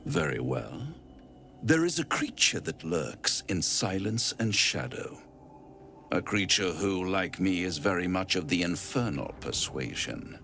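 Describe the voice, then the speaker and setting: Game villain: urbane infernal schemer, slow and grandly theatrical, with animated swoops and quiet menace. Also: male voice, low voice, creaky, faint music behind, full character voice. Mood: grave warning. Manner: theatrical, silky, verse-quoting, grandiloquent. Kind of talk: video game dramatic acting.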